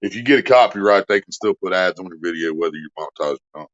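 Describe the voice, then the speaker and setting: A man's voice: deep voice, quick pace, muffled recording. A man hosting a casual livestream, cracking crude jokes and reading out donations. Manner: crude joking, reads donations aloud. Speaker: livestream host.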